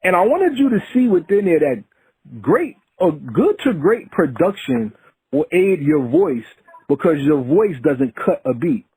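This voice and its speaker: A man hosting an interview with a husky, breathy voice that rises and falls a lot. He asks his questions earnestly and tends to preach. The sound is dry, close and a little muffled. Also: on the high side, sing-song, unhurried, warm tone, dry recording. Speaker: podcast host. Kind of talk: casual interview.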